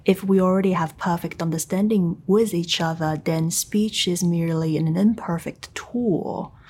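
A woman whose first language is Chinese, speaking English in a thoughtful academic conversation, pausing to search for words. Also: lowish voice, fairly even intonation, a little mellow, slightly rough. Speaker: tutor.

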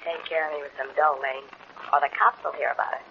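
A woman's voice: low voice, fairly even intonation, fast, gravelly. An actress playing a tough, slangy American gangster's girlfriend, hard and threatening, in a 1940s radio drama. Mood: menacing. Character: tough, brassy gangster's girlfriend demanding hush money. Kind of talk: 1940s radio detective acting. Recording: dull, noisy 1940s radio recording.

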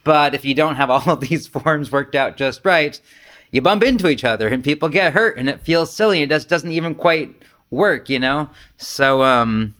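A man's voice: on the high side, moderate pace, a bit bright. Casual, self-deprecating man giving a talk. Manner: rambling, self-deprecating, joking asides. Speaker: Zen teacher and podcast host. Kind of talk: casual, conversational dharma talk to a group.

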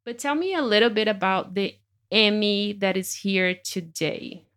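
A woman photographer hosting a podcast interview in her studio, speaking gently and effusively with many fillers. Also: mid-range voice, expressive, a little slow. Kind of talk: warm conversational interview.

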